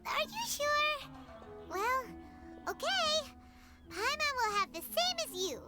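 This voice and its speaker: A very high-pitched, childlike little game fairy. The delivery swings wildly up and down, blunt and opinionated. Loud music plays behind. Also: female voice, slow, exaggerated voice. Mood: doubtful. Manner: refers to herself in third person, expressive, opinionated. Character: small childlike floating fairy companion, food-loving and blunt. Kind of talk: video game character acting.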